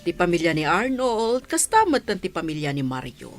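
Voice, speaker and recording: A gracious, charitable Ilocano madam, speaks in a lowish female voice. Her intonation swings widely, in classic radio drama fashion. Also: sing-song, moderate pace, over music. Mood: gracious, welcoming. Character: gracious, charitable well-off madam hosting a picnic.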